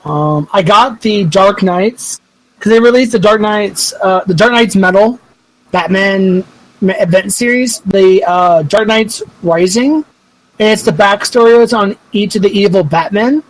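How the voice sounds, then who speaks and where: A man's voice: high voice, unhurried, no echo, rough recording. A man in his twenties in casual podcast chat, rambling and swearing freely as a co-host. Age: 20s.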